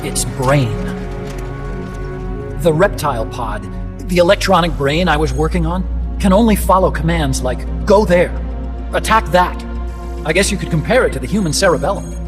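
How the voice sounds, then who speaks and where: An actor playing an earnest, disillusioned scientist in a video game, explaining things in a talkative, serious way. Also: male voice, a little high, expressive, moderate pace, long pauses, close to an everyday voice. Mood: confiding, explanatory. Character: earnest, disillusioned male nuclear weapons scientist, unable to walk. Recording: loud music behind. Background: music.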